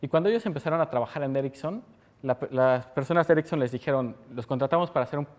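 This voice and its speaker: A man's voice: a little high, quick pace, a little mellow. A man in his thirties from Mexico with a Mexican Spanish accent, giving a technical conference talk and answering his own questions as he explains. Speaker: conference speaker. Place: lecture room with audience.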